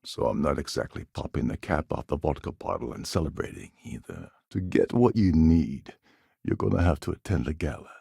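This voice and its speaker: An actor voicing a cynical, scheming space pirate pilot in a video game, with a gravelly, husky voice and a wry delivery. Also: male voice, rumbling low, lively intonation, fairly quick, warm tone, no room sound, clean studio sound, heavily acted. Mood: calculating, wry. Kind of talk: video game character dialogue.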